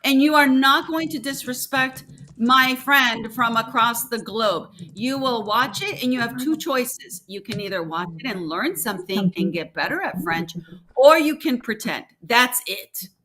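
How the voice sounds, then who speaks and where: A woman's voice: fairly high pitch, expressive, unhurried, sharp tone, slight background noise, rough recording. A woman born in Mexico whose first language is Spanish, a French teacher chatting as a guest, assertive and full of classroom stories. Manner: assertive, storytelling, acts out classroom exchanges. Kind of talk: casual conversational interview.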